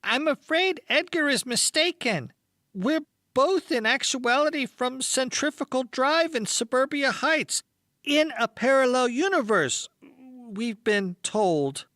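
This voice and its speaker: A man's voice: very high voice, animated, takes it slowly, bright, forceful tone, no room sound, clean studio sound, voice pushed up, full character voice. A man voicing a polite, earnest young boy in an audiobook. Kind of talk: audiobook character acting.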